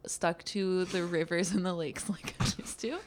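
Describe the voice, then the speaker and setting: Woman, podcast co-host, raspy after karaoke, lowish voice, quick to laugh, faint background noise. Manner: laughs often, animated storytelling. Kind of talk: casual chat. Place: studio.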